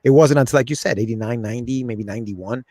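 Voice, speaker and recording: A man's voice: medium pitch, fast, warm tone, no room sound, clean studio sound. Rambling man from Ecuador in his forties. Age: 40s. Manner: rambling, frequent restarts and "like". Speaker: guest, comic creator. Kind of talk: casual interview chat.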